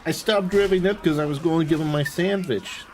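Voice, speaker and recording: A man's voice: fairly high pitch, brisk, darkish tone. Man commentating a game, game noise behind. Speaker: game streamer. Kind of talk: casual live gameplay commentary. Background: video game sounds.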